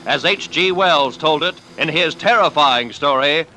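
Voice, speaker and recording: A man's voice: fairly high pitch, a little slow, sharp tone, slight background noise, low-quality recording. A man working as an announcer, introducing a drama emphatically in mid-century radio style. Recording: vintage radio with music.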